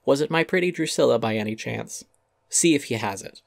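A man's voice: mid-range voice, lively intonation, brisk, gravelly, no echo, clean studio sound. A man giving a dramatic reading of a critical essay, wry and articulate with theatrical emphasis. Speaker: essay narrator.

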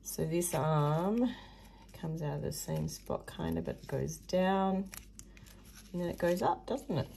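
A croaky-voiced woman talks through a craft tutorial in a slow, low, gravelly voice, chatty and prone to muttered asides. Her tone is mellow, and she sits at a table under an overhead camera, with a faint hiss behind her. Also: lively intonation. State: hoarse, croaky voice. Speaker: craft video host. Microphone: overhead camera above a table, hands only.

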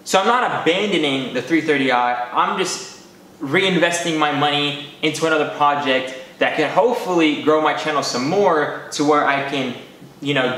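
Young dude vlogging from his garage, kinda high voice, talks earnest w lots of bro slang, room echoes a bunch. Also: a bit bright. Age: 20s. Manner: earnest and fast-talking, with "you guys" and "bro" slang. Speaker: car channel vlogger. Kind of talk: casual vlog talk to camera. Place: home garage, indoors. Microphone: camera mic.

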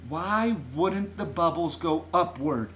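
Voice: mid-range voice, animated, slow pace, a bit bright, a little hiss or hum, voice pushed up. A storyteller voicing a polite, curious little girl who asks questions in a children's storybook. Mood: puzzled. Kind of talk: children's storybook read aloud.

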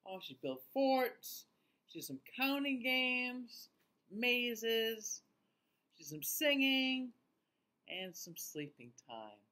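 Very slow, high-pitched animated male storyteller. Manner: animated, exaggerated, drawn-out delivery with gasps. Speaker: teacher reading aloud to children. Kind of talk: children's picture-book read-aloud. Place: small indoor room, seated facing camera.

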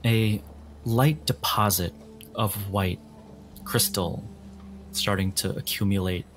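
A man narrating a tabletop game as game master in a deliberate, atmospheric, descriptive way. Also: lowish voice, steady tone.

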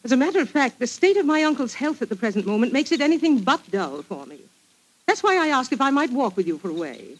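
A woman's voice: high voice, sing-song, quick pace, low-quality recording. An actress playing a clever, self-assured, forthright Englishwoman with a British accent in a 1940s radio drama. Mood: grave, urgent. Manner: crisp, forthright.

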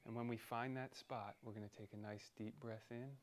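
A man leading a guided meditation in a soothing, deliberate manner, pausing between short phrases. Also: lowish voice, little pitch movement, a little hiss or hum.

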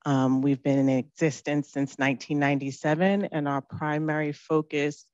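A woman's voice: deep voice, steady tone, normal speed, darkish tone, no room sound, lo-fi sound. A woman speaking on a panel as a company chief, composed and fluent. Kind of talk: panel talk. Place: conference room.

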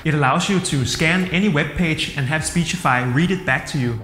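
A Danish-accented man in his thirties gives a casual, enthusiastic software review. His voice sits a little high for a man. The recording has a long, hall-like echo, and music plays faintly underneath. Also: brisk. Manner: upbeat and enthusiastic, plain-spoken.

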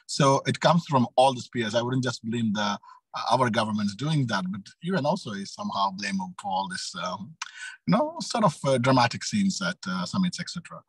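A man in his thirties speaks as a panelist on a video call. His voice is rough-edged and a bit deep, and his phrasing is halting. The sound is dry, with little room around it. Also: lively intonation, dry recording, poor audio. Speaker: youth organization speaker. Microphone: video call, phone.

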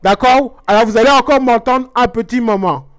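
A man's voice: extremely high pitch, normal speed, smooth. A man voicing a chatty middle-aged Guadeloupean mother who earnestly and naively explains a shooter game. She speaks with a Guadeloupean French accent and rambles in mock-naive asides. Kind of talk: comic gameplay commentary in character.

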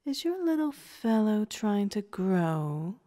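A woman's voice: medium pitch, big pitch swings, slow pace, mellow. A woman playing a domineering, condescending woman who commands and taunts in an audio story. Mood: taunting. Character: domineering, condescending woman running a training program. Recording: reverberant hall-like echo.

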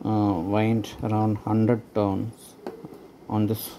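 Slow, halting man with a gravelly voice. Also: lowish voice, completely flat delivery, mellow. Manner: halting, hesitant, trailing phrases. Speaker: hobbyist presenter. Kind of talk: unscripted how-to narration while working. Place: indoors at a tabletop. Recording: noticeable background noise.

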